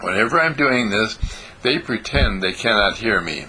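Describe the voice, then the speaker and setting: A man's voice: lowish voice, expressive, moderate pace, pushed and bright, slightly rough, heavily acted, rough recording. A man voicing an earnest, chatty four-year-old boy who speaks in repetitive, childlike phrases.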